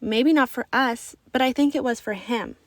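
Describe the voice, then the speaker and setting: A woman voicing a curious, earnest child in an expressive, questioning way. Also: fairly high pitch, normal speed, dry recording, studio quality, natural voice. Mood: worried, thoughtful. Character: curious, earnest child narrator who dreams of space.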